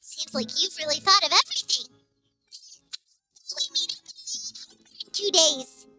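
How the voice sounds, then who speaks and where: An actress voicing a tiny, childlike fairy companion in a video game, chatty and cheeky with a squeaky voice. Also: female voice, sing-song, a little slow, pushed and bright, no room sound, faint music behind, full character voice, poor audio. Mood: friendly, curious. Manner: squeaky, cheeky, refers to herself in third person. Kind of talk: scripted video game character acting.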